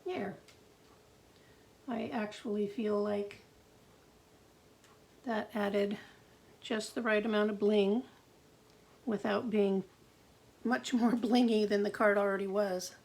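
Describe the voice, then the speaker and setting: A middle-aged woman with a gravelly voice, chatting and thinking aloud through a live card-making demo at her craft desk. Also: mid-range voice, steady tone, slow, pauses often, a little mellow.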